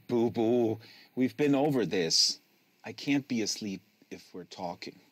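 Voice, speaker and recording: A man's voice: on the low side, expressive, slow pace, breathy edge, no echo, exaggerated voice. A man reading a novel, voicing a tired, patient, wry teenage older brother. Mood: dry, patient.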